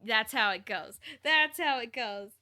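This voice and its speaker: Bright, high-voiced woman streamer, chatty and expressive. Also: a little slow. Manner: chatty, expressive, self-interrupting, exclamatory. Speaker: streamer reading fanfiction aloud. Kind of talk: casual livestream chat and reading.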